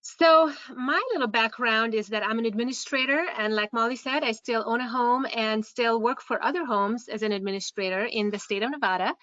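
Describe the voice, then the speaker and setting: A woman's voice: fairly high pitch, a little fast, hardly any pauses, a bit bright, no room sound. A woman with a Hungarian accent giving a webinar presentation as a care home administrator, hesitant with many fillers and restarts. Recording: dull, dry online call audio.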